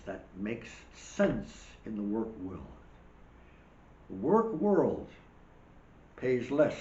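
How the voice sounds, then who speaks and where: A man's voice: medium pitch, a little mellow, slight background noise. An older man hosting a monologue show, rambling with emphatic, wry asides while losing his voice. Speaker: show host. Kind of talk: monologue commentary. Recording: dull echoey room recording.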